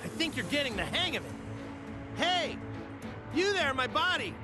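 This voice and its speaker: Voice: sits high, very animated, normal speed. An actor voicing a cheerful, naive martial arts hero in an anime video game, talking casually over music. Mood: casual, curious. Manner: naive, casual, good-natured. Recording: music behind the voice.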